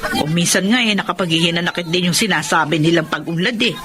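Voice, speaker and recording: An actress as a fretful Filipino market vendor. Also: female voice, fairly low pitch, fast, background noise, natural voice. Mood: worried. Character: worried, resentful fisherman's wife and market vendor. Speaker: radio drama actor. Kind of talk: Filipino radio drama acting.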